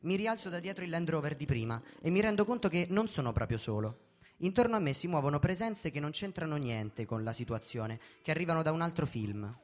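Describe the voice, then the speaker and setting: A man reading a first-person story in a measured, theatrical way, as a dramatic narrative performance. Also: a little high, lively intonation. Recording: music behind the voice. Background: background music.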